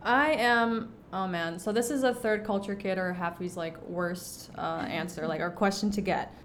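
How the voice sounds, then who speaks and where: A woman's voice: medium pitch, moderate pace, a little hiss or hum. A young woman who grew up in Egypt, chatting casually as a podcast guest, with lots of fillers and an easy laugh. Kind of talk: casual interview chat.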